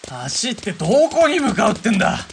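A man acting a wry, level-headed young army spotter in a Japanese audio drama, rough-spoken and quick to retort. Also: sits high, wildly swooping pitch, slight background noise, heavily acted. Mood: exasperated.

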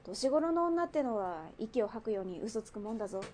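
An actress voices a brash gang boss woman in a radio drama. Her voice sits fairly high, yet her wording is blunt and mannish. She teases like someone used to command, with faint ambience behind her. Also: big pitch swings, fairly quick, darkish tone, a little hiss or hum. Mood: teasing, skeptical. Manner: blunt, mannish speech, teasing. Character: brash, teasing female Taiwanese underworld gang boss. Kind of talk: radio drama acting, conversational.

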